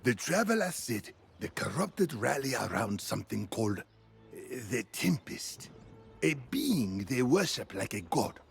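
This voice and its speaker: A wise old mentor of an ancient order in a fantasy game. He speaks slowly and solemnly, with weighty pauses and a creaky, weathered edge. His pitch rises and falls expressively over soft music. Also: sing-song. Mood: grave, worried. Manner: measured, deliberate, solemn, with pauses. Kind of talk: dramatic fantasy game voice acting. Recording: music behind the voice.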